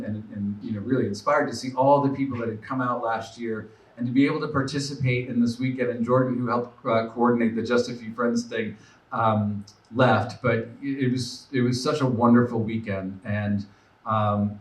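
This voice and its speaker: A man in his forties giving an earnest, off-the-cuff campaign speech at a fundraiser, with many fillers and restarts. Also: mid-range voice. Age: 40s. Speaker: political candidate. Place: room at a live event. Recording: roomy echo, speaker far from mic.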